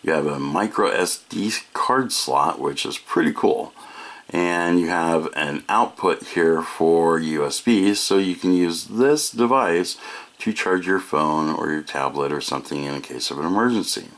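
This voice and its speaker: A man's voice: low voice, unhurried, fairly bright tone. An American man giving a casual product demonstration, explaining each feature in a matter-of-fact way. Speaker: product reviewer.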